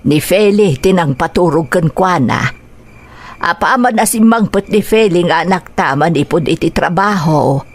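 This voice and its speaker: Radio drama casting: woman acting caring old Ilocano grandmother, lowish voice, concerned for her family. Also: moderate pace, close to an everyday voice.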